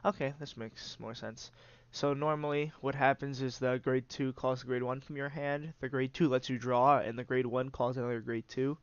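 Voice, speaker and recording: A man's voice: mid-range voice, level tone, moderate pace, few pauses, smooth, dry recording, muffled recording. A man with a congested, stuffy nose hosting and giving casual, rambling play-by-play commentary on a card game. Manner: rambling, many "uh" fillers, restarts. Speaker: host and commentator.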